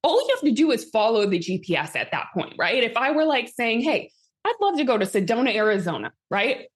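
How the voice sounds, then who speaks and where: Upbeat coach lady, 30s, voice a bit worn from talking, kinda high. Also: sing-song, a little fast. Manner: upbeat, reads out audience comments. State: slightly strained voice, healing. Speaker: host and manifestation coach. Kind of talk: live coaching session.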